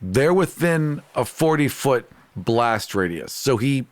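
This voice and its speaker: Male game player, mid-pitched, narrating his moves off the cuff in a dry recording. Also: lively intonation, slow pace, no echo. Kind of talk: casual, improvised narration of character actions.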